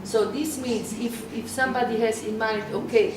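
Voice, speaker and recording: A woman's voice: a little high, fairly even intonation, normal speed. A woman, an interviewee in a roundtable discussion, reasoning step by step in a hesitant way. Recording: echoey room, distant mic, background noise.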